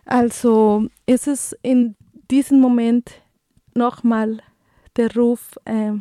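Woman, thirties, Colombian Spanish accent, native Spanish speaker, community radio host, hesitant, many fillers, mellow voice, dry room. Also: fairly high pitch, flat delivery, slow pace, no room sound. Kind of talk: community radio commentary and news reading.

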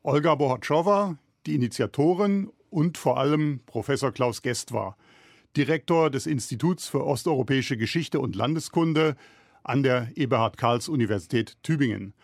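Male podcast moderator, calm and plain, middle pitch, dry close sound. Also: moderate pace, no echo. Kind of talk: casual spoken introduction. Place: university studio.

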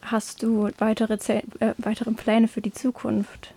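A woman's voice: on the high side, completely flat delivery, unhurried, dark tone, slightly rough. A woman interviewing a guest, asking friendly, prompting questions.

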